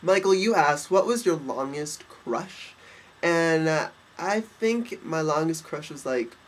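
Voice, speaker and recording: A man's voice: on the high side, animated, a little hiss or hum. A young man with a stuffed, nasal voice, talking in a campy, cheeky way to his webcam in a bedroom. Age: young adult. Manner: campy, cheeky, quick asides. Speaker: vlogger. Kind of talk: casual webcam vlog. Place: bedroom, indoors. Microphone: webcam at desk.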